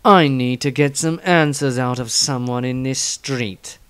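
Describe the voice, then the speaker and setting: A man voicing a brooding, uneasy man with secrets, reading his diary gravely and haltingly. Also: medium pitch, a bit bright, dry recording, close to an everyday voice. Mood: suspicious.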